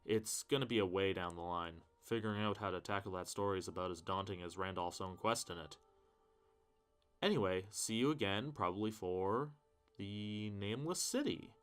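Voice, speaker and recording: A man's voice: fairly low pitch, normal speed, smooth, soft background music. A man giving casual literary commentary as a video host, with a wry, self-deprecating manner and mildly sweary asides. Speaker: video host reviewing horror fiction.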